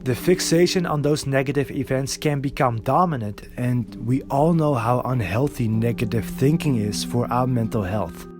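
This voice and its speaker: A man's voice: mid-range voice, moderate pace, few pauses, over music. Earnest male video essay narrator. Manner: earnest, reflective explaining. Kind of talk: scripted voiceover narration. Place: recorded alone.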